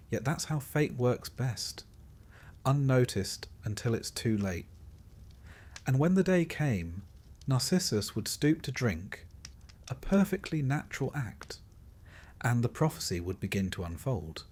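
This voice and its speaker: A man narrating a scripted sleep story in a calm, measured manner with dry, wry touches and a husky voice. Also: medium pitch.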